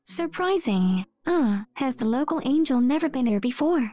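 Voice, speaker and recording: A woman's voice: sits high, animated, moderate pace, warm tone. A woman voicing a cocky, protective cowboy gunslinger with a casual, folksy swagger. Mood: teasing. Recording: music behind the voice.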